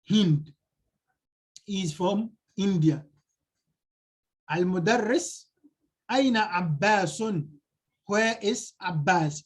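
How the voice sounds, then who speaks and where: A male teacher explaining a textbook lesson, translating it line by line and repeating key phrases. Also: high voice. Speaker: Arabic teacher.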